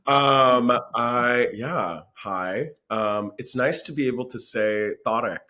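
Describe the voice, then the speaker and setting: A young man from Florida, a podcast guest, talking in a dry, deadpan way with lots of ums and likes. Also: mid-range voice, slow. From Florida, USA. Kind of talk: casual comedic chat.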